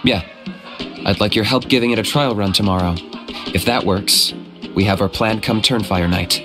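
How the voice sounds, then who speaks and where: A man's voice: lowish voice, moderate pace, over music, natural voice. A man voicing a cool, pragmatic young hunter in a video game, terse and matter-of-fact. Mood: cool, practical.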